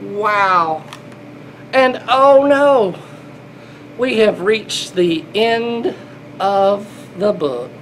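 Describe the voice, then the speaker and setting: A man's voice: high-pitched, animated, drawn-out words, pauses often, a bit bright, a little hiss or hum. A middle-aged man reading a children's picture book aloud, playful and exclaiming, talking as if to small children. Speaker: grandfather reading to children, air traffic controller. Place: small indoor airport control room.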